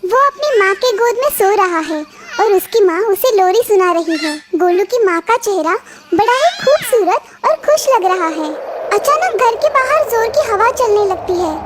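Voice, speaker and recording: A woman's voice: very high-pitched, lively intonation, moderate pace, background noise. A woman narrating a scripted children's cartoon story.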